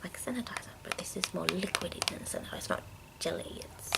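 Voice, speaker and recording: A woman speaking in a hushed, breathy whisper, casually rambling through products in an unhurried ASMR style. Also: lowish voice. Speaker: ASMR video maker.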